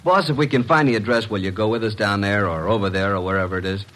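An actor playing a breezy, plain-spoken detective's assistant in an old-time radio drama. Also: male voice, on the low side, fast talker, fairly bright tone, close to an everyday voice. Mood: businesslike. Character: breezy, practical detective's assistant, a working man. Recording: noisy vintage radio recording.

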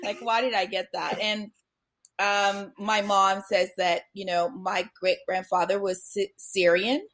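A woman's voice: medium pitch, a little slow. Chatty woman doctor, French first language. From born in Brooklyn, raised in Montreal. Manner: chatty and animated, laughing, many fillers and restarts. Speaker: guest obstetrician. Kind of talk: casual interview chat.

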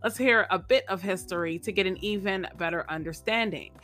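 A woman's voice: medium pitch, a little fast, fairly bright tone, soft background music. A woman hosting a podcast, getting over a cold so her voice is a little off, talking in an upbeat, chatty way and repeating her phrases. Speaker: podcast host. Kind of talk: casual motivational chat and vocabulary lesson.

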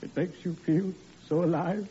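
A man's voice: high-pitched, fairly even intonation, normal speed, close to an everyday voice. An actor playing a cheerful, morbid male undertaker with sweet, suave, drawling delivery in 1940s radio acting, on an old lo-fi recording with hiss. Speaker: undertaker character in a radio comedy.

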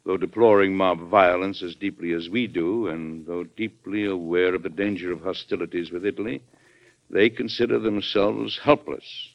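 An actor playing a plain-spoken, resolute American president in an old radio drama, folksy and deliberate, with an American accent. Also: male voice, sits low, steady tone, creaky. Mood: grave. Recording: dull lo-fi vintage radio recording.

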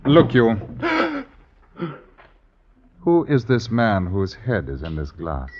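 An actor playing a stern sheriff of old London Town in a radio drama, questioning in formal, archaic phrases. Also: male voice, lowish voice, big pitch swings, slow. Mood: stern, probing. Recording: dull, noisy vintage radio recording.

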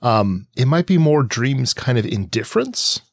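A man's voice: medium pitch, very animated, measured pace, dry recording. A man co-hosting a casual analytical discussion podcast, reflective and thinking aloud with many qualifiers. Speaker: podcast co-host.

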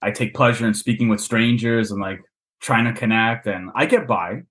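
A man's voice: on the low side, normal speed. A man with a Canadian accent, a podcast guest chatting casually and acting out the people in his stories. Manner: relaxed storytelling, acts out quoted voices.

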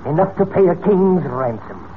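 An actor playing a smug, menacing criminal mastermind in 1940s radio style, oily, theatrical and condescending, on a tinny, noisy old recording. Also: male voice, high voice, expressive, normal speed. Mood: smug gloating. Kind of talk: 1940s radio serial acting.

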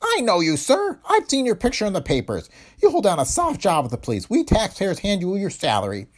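A man voicing a small, furious older male factory owner with a shrill, high-pitched ranting voice. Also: very animated, moderate pace, exaggerated voice.

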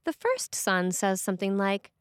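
A woman's voice: mid-range voice, wildly swooping pitch, normal speed, clear, resonant tone, dry recording. Woman reading a sermon, dry close sound.

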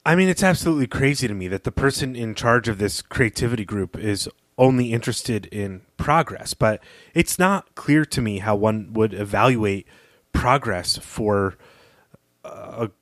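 Slightly low-voiced man analysing fiction. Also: animated, moderate pace, fairly bright tone, no room sound, clean studio sound. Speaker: podcast co-host. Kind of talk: analytical literary discussion.

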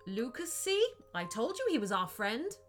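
A woman's voice: on the high side, big pitch swings, normal speed, faint music behind. A woman voicing a scheming male rat gang leader who speaks in a stiff, formal way in a children's story. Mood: wary. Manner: formal and stiff, then sly.

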